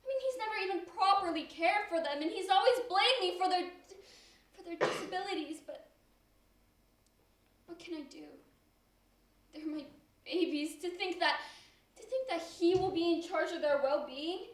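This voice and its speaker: A woman playing a despairing sick mother, high, echoey. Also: expressive, normal speed, pauses often. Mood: anguished, grieving. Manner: plaintive, emotional, fading to hushed. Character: despairing, bitter, gravely ill young mother. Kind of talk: amateur stage play acting. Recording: echoey stage, distant mic.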